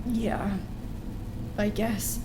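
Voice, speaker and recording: An actress playing an excitable, needy, sweet-natured young female staffer in an audio drama, emotional and unguarded. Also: medium pitch, extremely slow, noisy background. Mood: hurt, subdued.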